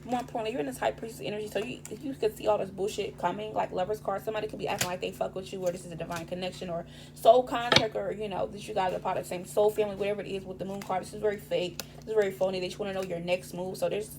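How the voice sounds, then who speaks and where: A woman giving a casual tarot reading, rambling and profane with streetwise slang, her voice raspy this morning. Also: mid-range voice, fairly even intonation, rapid-fire, few pauses, background noise. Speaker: tarot reader.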